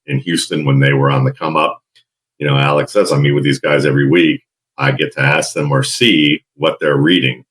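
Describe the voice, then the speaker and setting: A middle-aged man with a deep baritone and a husky edge, talking in a relaxed, storytelling way as a podcast guest. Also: low-quality recording. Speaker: guest draft analyst. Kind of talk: casual podcast interview.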